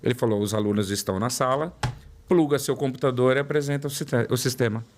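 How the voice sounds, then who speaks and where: A man's voice: medium pitch, fast. Paulistano man telling stories with emphasis. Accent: São Paulo Portuguese. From São Paulo, Brazil. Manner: storytelling, repeats words for emphasis. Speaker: guest, product development director. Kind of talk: interview conversation.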